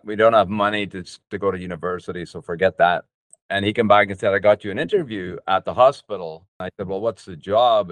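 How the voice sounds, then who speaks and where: Older guy, deep voice, dry close sound, telling his story slowly with lots of pauses. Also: no room sound. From Northern Ireland. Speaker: podcast guest, business coach. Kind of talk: personal storytelling in an interview.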